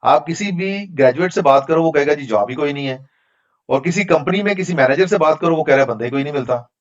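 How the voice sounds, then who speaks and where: A man's voice: fairly high pitch, animated, brisk, lo-fi sound. A man teaching an online class, answering students' questions in a frank, explanatory, conversational way over a video call. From Pakistan. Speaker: instructor.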